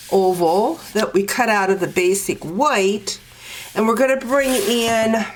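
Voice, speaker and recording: A woman's voice: mid-range voice, a little hiss or hum. A woman with a congested, stuffy nose, walking through a craft project step by step with frequent fillers. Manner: slow, step-by-step instructing with fillers. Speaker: card-making demonstrator. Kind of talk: crafting tutorial walkthrough.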